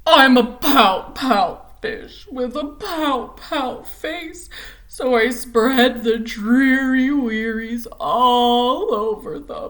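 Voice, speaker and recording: A gloomy male fish from a storybook, sulking through his lines in a slow, drawn-out, mournful singsong, as a woman puts him on. The voice sits a little high for a woman and drags each word out. It sounds like a picture book being read aloud. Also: gravelly, exaggerated voice. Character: glum gloomy male fish who pouts.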